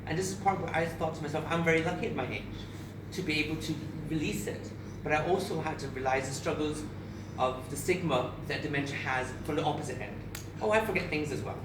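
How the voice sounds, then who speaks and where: A man's voice: fairly high pitch, quick pace. A man in his thirties from Barbados gives a candid, emotional personal speech to an audience, with frequent restarts. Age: 30s. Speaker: speaker at a charity event. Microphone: far camera. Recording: echoey room, captured from far off with noise.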